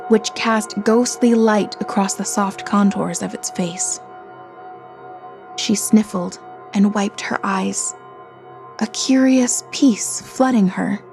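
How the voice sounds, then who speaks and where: A woman's voice: medium pitch, vocal fry. A woman narrating a scripted horror story in a dramatic, suspenseful manner. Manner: slow, dramatic, suspenseful delivery. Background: music.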